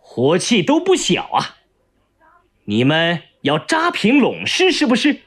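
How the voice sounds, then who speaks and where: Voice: mid-range voice, huge pitch swings, slow, breathy edge, full character voice. Narrator doing a stern, commanding male revolutionary leader. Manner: rebuking, authoritative questioning.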